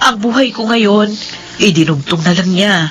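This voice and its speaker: A woman's voice: mid-range voice, normal speed, music in the background, close to an everyday voice. A woman playing a devout, hopeful pregnant young wife in a radio drama, speaking earnestly and tenderly, with pleading emotion. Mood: tearful, tender.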